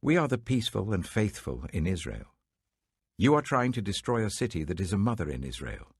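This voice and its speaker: A man's voice: lowish voice, big pitch swings, a little fast, slightly rough, no room sound, studio quality, voice pushed up. A man voicing a wise woman of an ancient city in a scripture reading, earnest and reproachful. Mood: earnest pleading.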